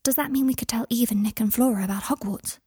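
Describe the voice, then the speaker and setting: A woman voicing an earnest, polite young wizard boy in an audiobook, breathy and eager. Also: on the high side, fast talker, mellow, no room sound, studio quality, pitched above the performer's own voice. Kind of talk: audiobook character voice.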